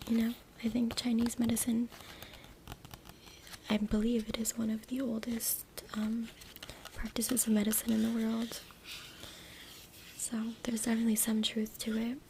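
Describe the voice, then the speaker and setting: A woman reading aloud in a breathy, unvoiced ASMR whisper with informal, hushed asides. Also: a little high. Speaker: ASMR creator. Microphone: close USB microphone. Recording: noisy USB mic with clicks, tapping. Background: tapping, scratching, clicks.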